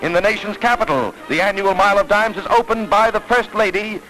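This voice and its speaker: A man's voice: sits high, brisk, low-quality recording. An American man narrating a 1940s newsreel in a declamatory, dramatic announcer style, on a vintage soundtrack. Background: orchestral newsreel music.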